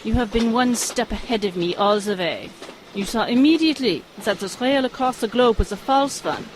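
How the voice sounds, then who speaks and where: A woman playing a cunning German spy, speaking in a formal, measured way. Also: fairly high pitch, expressive, background noise, natural voice. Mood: composed.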